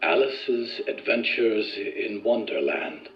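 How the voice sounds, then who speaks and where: An earnest research subject in an audio drama, formal and deliberate. His low voice moves slowly, choosing each word with care. The sound is a muffled, echoing room with music behind. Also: animated. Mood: dreamy, recollecting. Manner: formal, measured, deliberate wording.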